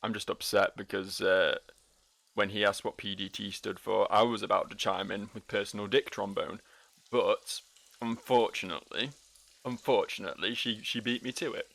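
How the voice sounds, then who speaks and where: A man's voice: lowish voice, steady tone, moderate pace, vocal fry, a bit bright. A man giving joking, sweary, rambling commentary as he plays through a game. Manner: rambling, dryly silly. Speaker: gaming video host.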